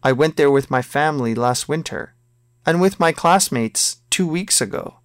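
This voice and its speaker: A man reading a scripted listening exam, both the dialogue lines and the numbered questions, in a slow, careful textbook manner. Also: fairly high pitch, animated, clear, resonant tone, no echo, clean studio sound. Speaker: listening test reader.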